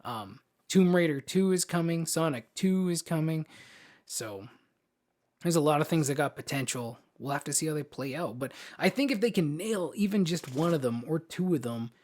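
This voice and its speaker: A man's voice: a little high, animated, moderate pace, darkish tone. A man hosting a podcast, chatting casually and informally about films, with slangy phrasing and some mild swearing. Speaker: podcast host. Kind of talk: casual film podcast chat.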